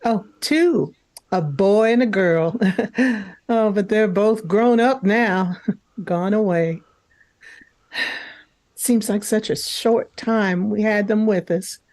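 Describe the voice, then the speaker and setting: A woman's voice: mid-range voice, takes it slowly, long pauses, darkish tone, lightly acted. An actress playing a kindly older storekeeper's wife in a radio play, homely, motherly and a little hesitant. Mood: wistful. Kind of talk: old-time radio drama acting.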